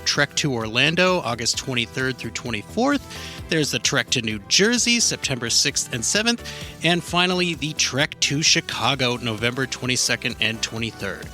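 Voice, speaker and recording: A man reads an event promo in an upbeat advertising manner. His voice is very bright and his pitch moves a lot. Light music sits behind him. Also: lively intonation, normal speed. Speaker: ad reader. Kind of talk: convention promo read.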